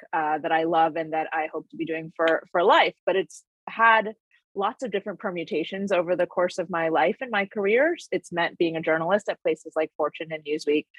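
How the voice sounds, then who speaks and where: A woman's voice: low voice, no echo, low-quality recording. A woman in her forties from Connecticut, talking as an interview guest in a reflective way, with frequent fillers and light laughs. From Connecticut, USA. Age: 40s. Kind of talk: conversational interview.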